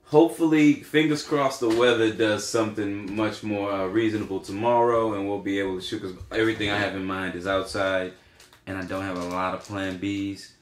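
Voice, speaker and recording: A man, in his thirties, speaks casually on a podcast. His voice sits on the low side, and he rambles with frequent fillers and restarts. The room around him is echoey. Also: steady tone, a little fast. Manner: rambling, hesitant, lots of fillers. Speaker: podcast co-host. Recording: roomy echo.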